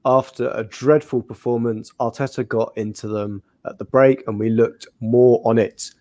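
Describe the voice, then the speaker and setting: A man's voice: mid-range voice, fairly even intonation, moderate pace, warm tone. A man with a Northern English accent hosting a football podcast, leading the talk in an easygoing way. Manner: easygoing, leads the conversation. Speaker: podcast host. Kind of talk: casual football chat.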